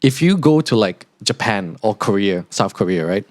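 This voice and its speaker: Young male podcast host, Singaporean accent softened toward neutral, low voice, loose filler-heavy delivery. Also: lively intonation, normal speed, dry recording, clean studio sound. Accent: Singaporean, partly neutralized toward Australian. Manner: rambling, lots of "like" fillers. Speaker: podcast host, international student. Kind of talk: casual podcast monologue.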